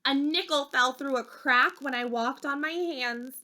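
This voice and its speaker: A woman reading a children's story aloud expressively, with dramatic pauses and emphasis. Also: high voice, bright, forceful tone. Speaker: storybook reader.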